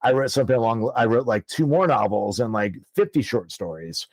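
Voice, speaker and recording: A man's voice: medium pitch, animated, fairly quick, dry recording. Guy in his 30s telling his story, lots of ums and likes, swears a bit, mid voice, dry sound. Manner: rambling, many ums and likes, swears casually. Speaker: author guest. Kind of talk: casual storytelling interview. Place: podcast studio.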